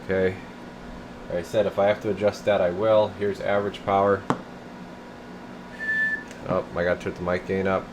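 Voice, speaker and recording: A man's voice: low-pitched, normal speed, pauses often. A man giving a casual hands-on radio repair demo, thinking aloud informally as he works. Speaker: radio technician demonstrating a tuned-up CB radio. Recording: clear steady background noise, air conditioner hum.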